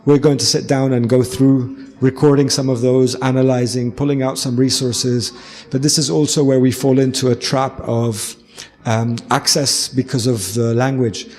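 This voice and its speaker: A man's voice: mid-range voice, fairly even intonation, moderate pace, darkish tone. A man answering an audience question at a panel talk, thoughtful, with frequent ums and restarts. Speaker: lecturer and panelist. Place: event hall with audience. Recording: echoey hall, faint noise.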